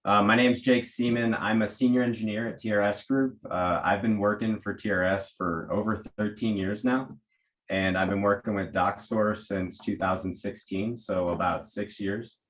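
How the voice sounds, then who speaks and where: Deep-voiced American engineer, relaxed. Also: male voice, moderate pace. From United States. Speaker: senior engineer. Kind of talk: webinar introduction.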